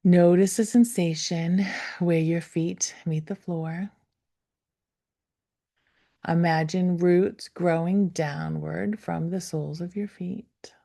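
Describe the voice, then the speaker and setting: A woman leading a guided meditation, speaking gently and without hurry, with soft, breathy phrase endings that fall toward a whisper. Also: fairly low pitch, steady tone. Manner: gentle, unhurried, with long pauses. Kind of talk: guided meditation, slow soothing instruction.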